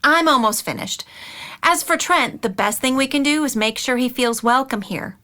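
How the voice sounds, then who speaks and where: Woman acting as a bright, high-voiced anxious aunt. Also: normal speed. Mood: pleading. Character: anxious, caring middle-aged aunt and mother. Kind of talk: audio drama dialogue.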